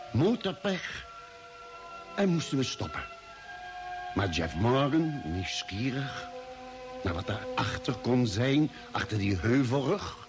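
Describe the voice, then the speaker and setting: A man playing a grave expedition member who recounts a space adventure in measured, dramatic radio drama narration. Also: medium pitch. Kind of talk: scripted narration in vintage Dutch radio drama.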